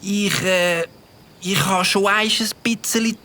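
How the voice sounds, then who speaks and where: A nervous young boy, voiced by a woman hesitates and stammers in a Swiss German accent. His speech is slow and careful. The delivery suits a children's audio drama. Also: mid-range voice, steady tone, pushed and bright.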